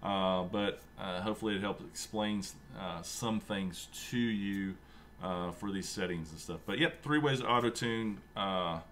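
Thirties male host, low register, easygoing filler-heavy walkthrough at his home desk. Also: a little slow, a little hiss or hum. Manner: relaxed, chatty, many fillers, self-described monotone. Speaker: sim racing channel host. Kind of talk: casual screen-share tutorial. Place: home room with a racing rig.

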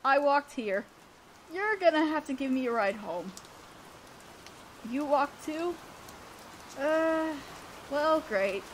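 A woman's voice: high voice, lively intonation, slow, pauses often, a little hiss or hum, poor audio. A woman playing an awkward, flustered young student council president in a romantic audio roleplay, with a hesitant, earnest delivery. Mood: exasperated, embarrassed.

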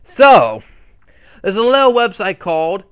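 A man's voice: sits high, very animated, measured pace, fairly bright tone, smooth. A man hosting his channel, giving a casual, rambling update full of fillers and restarts on a desktop mic. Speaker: channel host.

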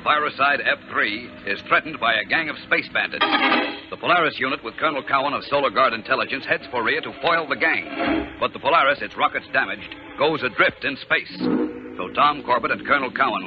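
A man's voice: medium pitch, close to an everyday voice. A man narrating a 1950s radio adventure serial in a dramatic announcer style. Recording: muffled old 1950s radio, loud music.

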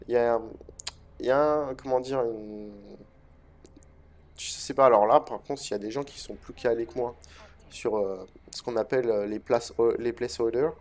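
A man with a head cold, giving relaxed, hesitant commentary over gameplay from a desk mic at home, with many fillers. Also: medium pitch, normal speed. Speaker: gaming video host.

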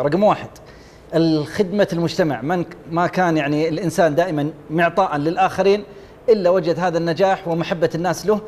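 A man's voice: fairly high pitch, a little mellow, in a large hall, a little hiss or hum. A man on a TV talent show panel, enthusiastically listing points and praising a contestant, on a lapel mic in a studio. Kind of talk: evaluating a contestant on live TV. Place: TV studio set.

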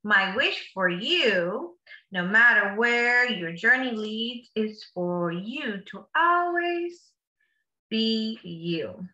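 A woman's voice: on the high side, animated, very slow, sharp tone, muffled recording. A woman reading a picture book aloud to children like a teacher, with encouraging, simply worded asides in between. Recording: echoey room.

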